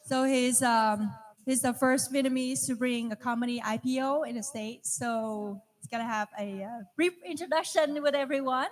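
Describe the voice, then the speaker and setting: A woman's voice: sits high, moderate pace. A woman hosting an event, introducing guests informally to an audience. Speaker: event host.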